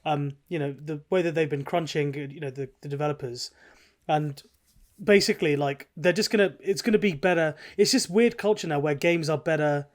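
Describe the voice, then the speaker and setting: A man with a somewhat high voice co-hosts a gaming podcast. His talk is casual banter, sweary and teasing, as among close friends. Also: fairly quick. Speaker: podcast host.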